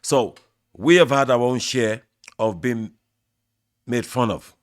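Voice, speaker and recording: A man's voice: mid-range voice, no room sound, clean studio sound. Opinionated Nigerian man talking football. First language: Yoruba. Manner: opinionated, measured, talks straight to fans. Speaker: sports channel host. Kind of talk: football opinion talk to camera.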